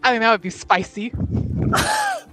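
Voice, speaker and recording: A man's voice: very high voice, huge pitch swings, unhurried, bright, forceful tone, soft background music. A man bantering playfully and casually as a player in a tabletop game. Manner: teasing, playful.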